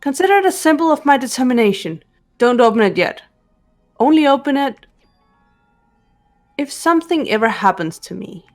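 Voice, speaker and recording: A woman voicing a cool, determined teenage detective girl in a visual novel game, measured and reserved. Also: high-pitched, very animated, soft background music. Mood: quiet resolve.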